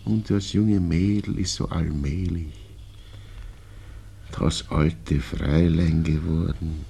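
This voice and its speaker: Old Viennese father, low husky voice, slow and halting, gentle regret, 1960s radio play. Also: steady tone, exaggerated voice. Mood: regretful, sorrowful. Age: older. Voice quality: gravelly, husky. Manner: slow, halting, repeating words. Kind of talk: 1960s German radio drama acting.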